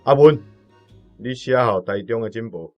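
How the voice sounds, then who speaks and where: A man's voice: mid-range voice, normal speed, over music, lightly acted. A man playing an earnest, middle-aged village letter-writer in a radio drama, with a Taiwanese accent and an instructing manner. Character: respected village letter-writer uncle near fifty, earnest elder.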